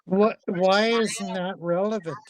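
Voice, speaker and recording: A man's voice: high-pitched, fairly even intonation, slow pace. A man in a casual livestream argument, interjecting dismissively and repeating himself. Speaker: debater on a livestream.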